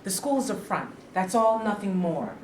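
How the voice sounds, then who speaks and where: A woman's voice: medium pitch, expressive, measured pace, lightly acted. A woman playing a resolute, weary wife with a firm, dismissive manner in a staged play reading. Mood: defensive. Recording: echoey room, faint background noise.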